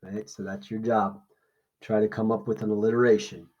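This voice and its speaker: A man's voice: lowish voice, little pitch movement, moderate pace, highs cut off, background noise. A man teaching an informal lesson, reading aloud and explaining things in a plain instructional way. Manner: explanatory, checks understanding with short questions.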